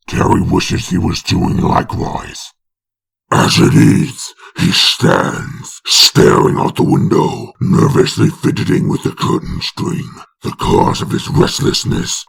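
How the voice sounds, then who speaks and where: A man with a very gravelly, breathy rasp giving dramatic scripted narration of a comic book. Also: low voice.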